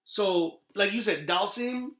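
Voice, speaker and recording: Male podcaster, slightly high and very bright voice, blunt slangy delivery, casual chat. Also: animated, takes it slowly, echoey room. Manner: blunt, slangy, emphatic, swearing. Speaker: podcast host. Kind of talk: casual podcast chat about video game movies.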